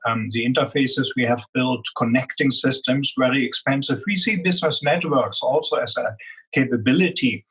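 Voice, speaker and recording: A man's voice: mid-range voice, normal speed, a bit bright, husky, poor audio. A man with a strong German accent, speaking as a guest executive in an interview and explaining with frequent "um" fillers. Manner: many "um" fillers, earnest explaining. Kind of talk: business interview.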